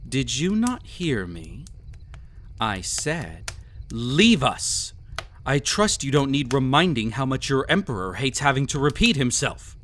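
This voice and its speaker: A man's voice: medium pitch, big pitch swings, fairly bright tone, a little hiss or hum. Man acting a haughty, cruel emperor with sweeping intonation. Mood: irritated, commanding. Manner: haughty, formal, mocking, deliberate theatrical phrasing. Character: cruel, arrogant, possessive male emperor, devious and condescending. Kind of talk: scripted audio roleplay, villain monologue addressed to listener.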